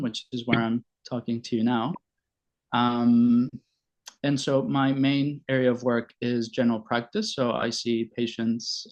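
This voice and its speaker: A man in his forties speaks in a level, little-varying voice of medium pitch. He is from Venezuela and presents informally, halting often between phrases. The sound is slightly dull and lo-fi, as on an online call. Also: darkish tone. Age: 40s. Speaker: general practitioner giving a presentation. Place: online video meeting.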